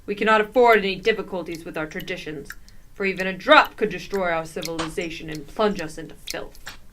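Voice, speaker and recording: A woman's voice: fairly low pitch, fairly quick, fairly bright tone, natural voice. A woman playing a stern, imperious alien priestess, formal and scornful, in a comedic science fiction radio drama. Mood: menacing. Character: haughty, stern alien high priestess disgusted by filthy outsiders.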